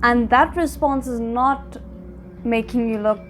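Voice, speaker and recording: A woman giving a casual live reaction to a drama series, thinking aloud in an informal, expressive way. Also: fairly high pitch, moderate pace, slight background noise. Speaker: reaction video host.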